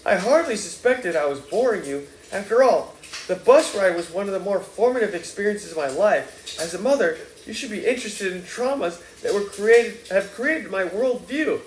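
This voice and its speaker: A man's voice: sits high, lively intonation, normal speed, reverberant, slight background noise, pitched above the performer's own voice, poor audio. A man reading aloud and voicing a pompous, disdainful grown son who speaks coldly and loftily. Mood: haughty. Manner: haughty, cold, lofty.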